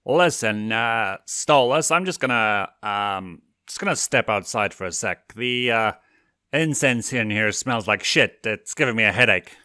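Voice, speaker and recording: A man voicing a crude, evasive male imp boss who speaks in a halting, blunt, casual way. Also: on the low side, animated, pushed and bright, smooth, no room sound, studio quality. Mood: uneasy, evasive.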